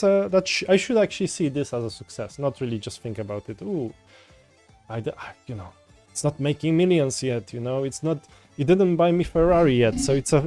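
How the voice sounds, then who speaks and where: A man with a Polish accent talks in a loose, wandering way, often restarting his sentences as he chats with viewers. His voice is warm and dark rather than bright. Folk music plays quietly in the background. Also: mid-range voice. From Poland. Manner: rambling, frequent restarts, chatty with viewers. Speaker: coding livestreamer. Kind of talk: casual livestream chat while coding. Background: soft folk music.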